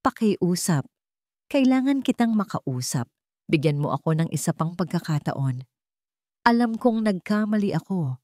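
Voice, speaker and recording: A woman's voice: lowish voice, big pitch swings, measured pace, a little mellow, dry recording. Storybook spurned boyfriend, performed by a woman: dramatic, insistent, easily riled, dry sound. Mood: pleading. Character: pleading, easily irritated man, a rejected former lover.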